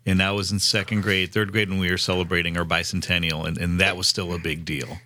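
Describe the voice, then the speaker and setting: Bright-voiced man in his fifties. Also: low-pitched, flat delivery, fast talker, no echo. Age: 50s. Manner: earnest, conversational, with many restarts. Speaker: host. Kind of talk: casual conversation.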